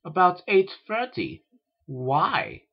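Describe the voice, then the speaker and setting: A man voicing a caring older-sister unicorn, gentle, puzzled and reassuring, in a story read aloud. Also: high voice, wildly swooping pitch, slow, creaky, breathy edge, lo-fi sound, pitched above the performer's own voice, exaggerated voice. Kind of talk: fan fiction read aloud with character voices.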